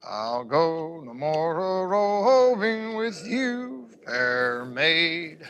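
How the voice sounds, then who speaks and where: An actor playing a stubborn, cantankerous old man in a science fiction TV drama, with a gravelly, theatrical drawl from the South. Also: on the high side, very animated, drawn-out words, a bit bright, full character voice. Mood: wistful singing. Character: cantankerous, stubborn old human man, aged decades in exile.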